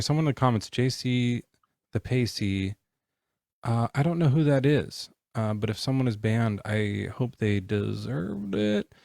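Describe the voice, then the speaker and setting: A man in his forties hosting a live stream, chatting casually in a halting, rambling way with lots of fillers. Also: fairly low pitch, creaky, a little mellow, dry recording, clean studio sound. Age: 40s. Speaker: livestream host.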